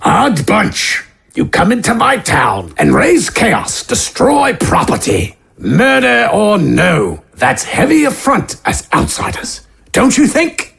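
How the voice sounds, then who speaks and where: A man voicing a gluttonous, domineering middle-aged baron in a fantasy audio drama, gruff and raspy, with imperious archaic rustic phrasing. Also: high voice, wildly swooping pitch, slow pace, fairly bright tone, pitched above the performer's own voice, full character voice. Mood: disdainful, commanding. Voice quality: raspy, gravelly.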